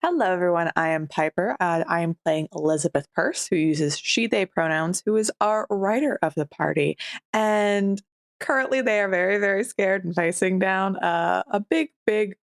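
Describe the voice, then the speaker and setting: A woman's voice: medium pitch, moderate pace, dry recording, studio quality. A woman casually introducing a character as a player on a tabletop roleplaying show. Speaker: player on an actual play show.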